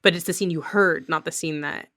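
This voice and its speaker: A woman's voice: deep voice, fairly quick, fairly bright tone, no echo, clean studio sound. A woman podcast host interviewing in a casual, conversational style.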